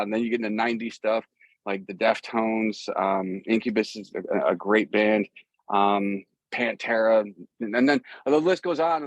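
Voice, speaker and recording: A man's voice: lowish voice, dry recording, rough recording. A middle-aged man chatting casually as an interview guest, telling stories in a rambling, easygoing way. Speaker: guest, musician. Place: outdoors.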